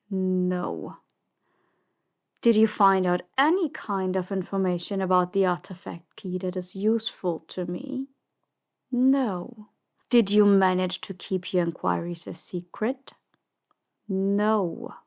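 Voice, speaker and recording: Slow-speaking, imperious female crime boss, acted by a woman. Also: mid-range voice. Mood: stern, interrogating. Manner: commanding, measured, dismissive. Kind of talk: audio drama roleplay acting.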